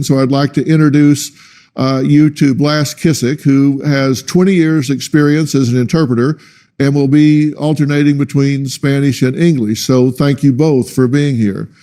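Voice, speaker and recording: Deliberate probing talk show host. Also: male voice, a little high, fairly even intonation, warm tone. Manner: deliberate, probing questions with hesitant restarts. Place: tv studio.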